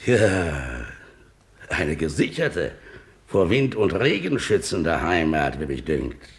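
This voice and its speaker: An actor playing a pompous, condescending magistrate and Lord Mayor in a 1950s German radio play. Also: male voice, very deep voice, big pitch swings, exaggerated voice. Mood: smug, lofty. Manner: pompous, drawn-out, lofty.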